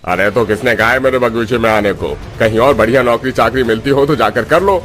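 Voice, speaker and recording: An actor playing a greedy, bullying orchard owner in a cartoon story. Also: male voice, mid-range voice, fairly quick, a bit bright, faint music behind, lightly acted. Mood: scornful. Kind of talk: cartoon villain dialogue.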